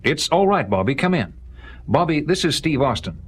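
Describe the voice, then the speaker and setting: An actor playing an authoritative government official in an audio drama, speaking formally and earnestly. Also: male voice, a little high, big pitch swings, normal speed, slight background noise. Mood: calm. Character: calm, authoritative government official, adult man.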